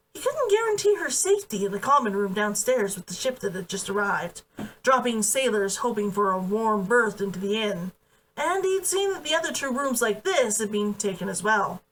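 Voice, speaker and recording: Woman, expressive storybook narration, lively swooping pitch, slightly distant mic. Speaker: author reading own book aloud. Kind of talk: expressive fiction read-aloud narration.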